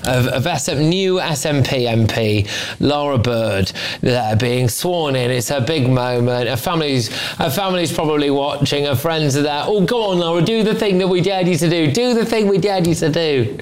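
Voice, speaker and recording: Male panel host, voice on the higher side, mocking and chatty. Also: normal speed. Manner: mocking, sarcastic. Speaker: host of a topical panel show. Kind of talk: casual opinionated panel banter.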